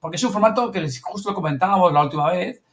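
A man's voice: high voice, rapid-fire, a bit bright. A man with an accent from Spain hosts a casual podcast chat, prompting guests with questions. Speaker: podcast host.

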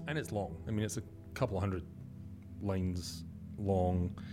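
A Glaswegian man in his thirties talks in a very low voice, relaxed and a little hesitant. Music plays clearly beneath him. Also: unhurried. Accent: Scottish, Glasgow. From Scotland. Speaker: interview guest. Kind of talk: casual interview chat.

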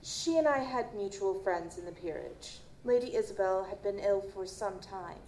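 A woman playing a guarded, formal chief medical examiner in an audio drama, measured and dismissive. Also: medium pitch, expressive, dark tone, close to an everyday voice. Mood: measured, evasive. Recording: roomy, noisy live stage recording.

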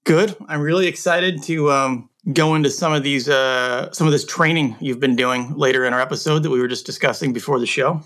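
A man hosting an interview podcast, upbeat and chatty, often pausing on fillers and restarts. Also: mid-range voice, steady tone, a bit bright. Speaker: podcast host. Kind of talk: casual interview chat.

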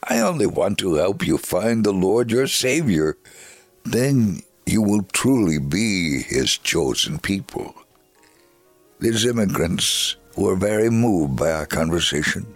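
Devout earnest man telling his life story, slow solemn dramatic reading, lowish and close dry sound. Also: big pitch swings, no echo, faint music behind, heavily acted. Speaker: dramatic reader. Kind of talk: scripted dramatic reading of a memoir.

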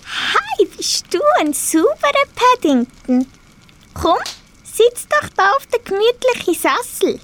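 A young female performer puts on a doting housekeeper for a homemade radio play. She is very shrill in pitch and swoops up and down. Her manner is cooing and fussy. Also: sing-song, slow pace, a bit bright, heavily acted. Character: kindly, doting housekeeper Mrs Bird. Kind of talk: amateur school radio play.

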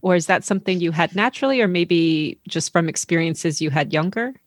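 Attentive woman host, lowish voice. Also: a little fast, no echo, clean studio sound. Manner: attentive, frequent murmured affirmations. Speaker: podcast host. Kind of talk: interview hosting.

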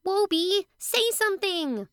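A woman playing a curious, imaginative young girl in a family audio drama, teasing and excitable. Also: very high voice, unhurried, heavily acted. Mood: excited, alarmed.

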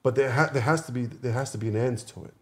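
A man's voice: medium pitch, fast. A man talking earnestly in a casual podcast discussion, often repeating phrases for emphasis. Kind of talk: casual conversation.

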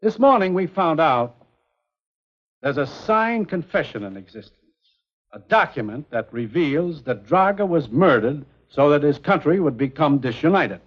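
An actor with an American accent playing a businesslike intelligence commissioner giving a clipped briefing in a 1950s television spy drama. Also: male voice, on the high side, expressive, unhurried. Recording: dull vintage TV soundtrack.

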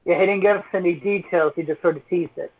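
Brief: squeaky male co-host, quippy, phone-quality audio. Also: fast, breathy edge. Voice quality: naturally squeaky voice. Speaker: podcast co-host. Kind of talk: casual chat. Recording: tinny phone-like remote line, far from mic.

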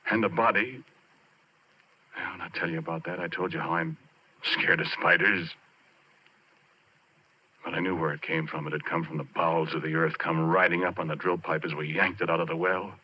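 An American man acting as a haunted, obsessed former oil driller in a 1940s radio horror play, confiding in halting, brooding phrases with dramatic pauses. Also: deep voice, steady tone, breathy edge. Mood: hushed horror. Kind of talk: 1940s radio horror acting, intimate first-person narration. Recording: dull, noisy 1940s radio recording.

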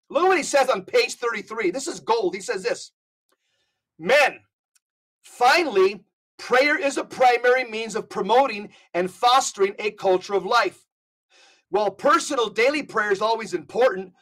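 A man's voice: very high voice, a bit bright, low-quality recording. A man speaking as a podcast guest, giving impassioned religious talk in an emphatic, exhortatory way and reading out quotations.

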